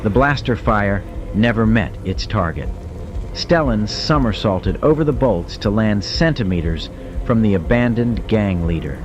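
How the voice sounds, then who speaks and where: Very deep-voiced male audiobook narrator. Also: expressive, measured pace, lo-fi sound, loud music behind the voice. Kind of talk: dramatic scripted narration.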